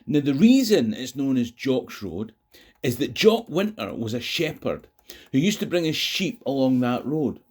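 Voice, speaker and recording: A man's voice: medium pitch, sing-song, unhurried, darkish tone. Scottish man telling history stories. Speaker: history tour guide and video host. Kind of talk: history travel storytelling to camera. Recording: noisy outdoor location, traffic noise.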